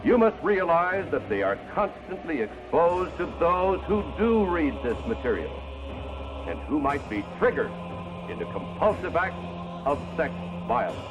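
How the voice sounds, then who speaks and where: A man delivers an old-fashioned moral address with strongly swooping intonation, earnest and alarmed. The sound is dull and archival, with music clearly playing behind him. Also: a little high, very animated, unhurried. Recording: dull archival film audio under music.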